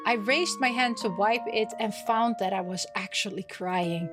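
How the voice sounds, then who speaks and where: A woman's voice: mid-range voice, normal speed. A woman streaming a visual novel, chatting casually and reading the game text aloud. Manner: reacts aloud with small interjections. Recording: music playing behind the voice. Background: game music.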